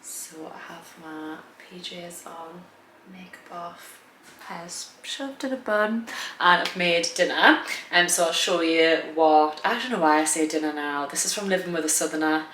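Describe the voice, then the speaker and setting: Low-voiced northern English woman, bright. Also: a little slow, roomy sound, slight background noise. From northern England. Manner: chatty, filler-heavy, self-correcting. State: croaky voice. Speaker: food and lifestyle vlogger. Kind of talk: casual chat to camera. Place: home kitchen.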